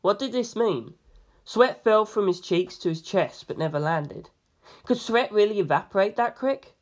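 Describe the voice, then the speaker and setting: Expressive storyteller reading a novel. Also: medium pitch, moderate pace. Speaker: amateur audiobook reader. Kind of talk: reading a novel aloud as first-person narration.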